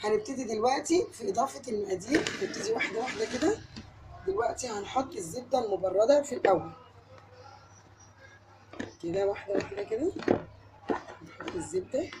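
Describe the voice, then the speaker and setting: A home cook with an Egyptian accent walks the viewer through a recipe in a quick, friendly patter. Her voice sounds tired from a recent cold and sits a little high. The kitchen recording carries a clear layer of background noise. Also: animated. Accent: Egyptian Arabic. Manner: chatty, addresses viewer directly, step-by-step. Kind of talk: cooking tutorial.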